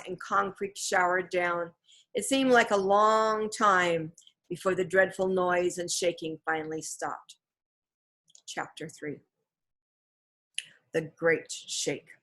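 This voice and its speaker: Woman narrating a children's story, expressive. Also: on the low side, takes it slowly, pauses often. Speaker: storybook reader. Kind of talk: scripted narration of a children's novel.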